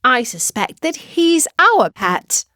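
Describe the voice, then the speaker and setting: A woman's voice: high-pitched, very animated, slow pace, sharp tone, no room sound, clean studio sound, voice pushed up, exaggerated voice. A woman voicing a clever, watchful schoolgirl witch in a storybook, with a knowing manner. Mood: knowing whisper.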